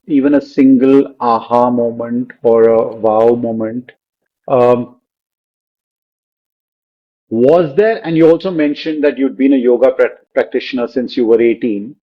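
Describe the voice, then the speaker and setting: A man's voice: medium pitch, measured pace. Male interviewer, inquisitive and searching; the audio is muffled and lo-fi. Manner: curious and probing, circling back to rephrase. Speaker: podcast host. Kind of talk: interview questioning. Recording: dull lo-fi sound.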